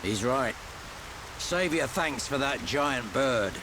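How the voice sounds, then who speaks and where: A gruff old adventurer, wry and boastful like a seasoned mentor. His pitch sits mid-range for a man, and a clear rain-like noise runs behind him in this game cutscene. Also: lively intonation, slow. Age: older. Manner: wry, colloquial, teasing. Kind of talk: animated game cutscene dialogue.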